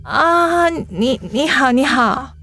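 A woman's voice: sits high, animated, takes it slowly, bright, forceful tone, faint music behind, exaggerated voice. A woman acting an impressionable, easily flustered young office worker in a radio drama, girlish in manner. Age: young adult.

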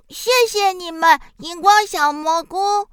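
A woman playing Darkness as a lonely, timid child in a children's radio drama, plaintive and wide-eyed with drawn-out exclamations. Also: extremely high pitch, a bit bright, no echo, clean studio sound, full character voice. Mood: resolute.

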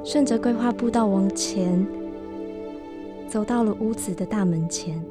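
Actress as gentle, reflective woman in radio drama, mid-pitched, measured and sincere, music clearly underneath. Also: close to an everyday voice. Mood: dreamy, reflective. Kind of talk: radio drama acting with narration.

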